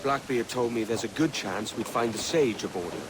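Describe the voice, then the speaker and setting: An actor playing a brash, pragmatic young pirate captain in a video game, speaking bluntly and informally. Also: male voice, mid-range voice, moderate pace, very noisy, lightly acted. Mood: matter-of-fact. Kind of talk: video game character acting. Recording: loud sea, rain and ship ambience.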